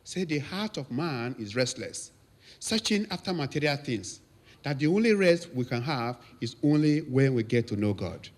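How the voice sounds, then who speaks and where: A man in his fifties with a Nigerian accent, a priest giving a thank-you speech and telling stories with lively quoted voices. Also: medium pitch, normal speed. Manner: storytelling, laughs often, lively quotes.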